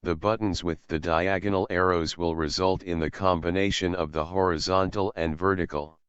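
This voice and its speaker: A man calmly and methodically walking through a screen-recorded software tutorial as an instructor. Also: low voice, normal speed, smooth, no echo. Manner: calm, methodical explaining. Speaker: assistive technology instructor. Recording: slightly dull, dry voice-over.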